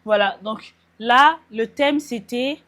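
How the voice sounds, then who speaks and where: A woman's voice: on the high side, takes it slowly, a bit bright. A young woman from France vlogging casually into a small mic, chatting about her outfit and slipping English words into her French. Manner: chatty, mixes in English words, laughs at herself. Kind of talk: casual vlog chat. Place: at home getting ready, then out in the street and metro.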